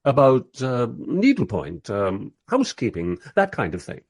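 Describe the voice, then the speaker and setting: An actor playing a mild, polite time-travelling interviewer who narrates his story wryly in a radio play, with a husky voice. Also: medium pitch, huge pitch swings, a little slow, exaggerated voice. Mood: polite, dry. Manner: wry, polite. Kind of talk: first-person radio play narration and dialogue.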